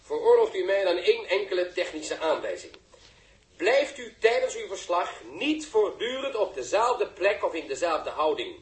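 An actor playing a slick, effusive commercial broadcast announcer in a 1960s Dutch radio drama, with a grandiose, flattering delivery. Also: male voice, high voice, normal speed. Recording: roomy, echoing radio drama sound.

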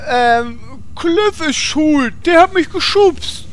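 A man's voice: very high-pitched, animated, slow pace, full character voice. A man playing a dim, blustering but loyal warrior in a parody audio drama. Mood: sheepish. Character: dim, blustering warrior brute, evasive and proudly faithful.

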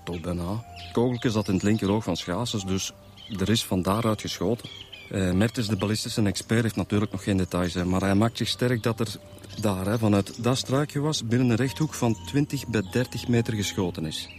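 An actor with a Flemish accent playing an easygoing, chatty police detective in a radio drama, explaining things colloquially with frequent tag questions. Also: male voice, fairly low pitch, a little fast, soft background music, lightly acted.

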